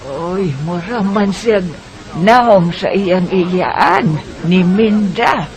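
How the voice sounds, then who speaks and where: A performer with a Bisaya Filipino accent playing a frail, curious elderly great-grandmother who speaks haltingly in a radio drama. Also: mid-range voice, expressive, very noisy.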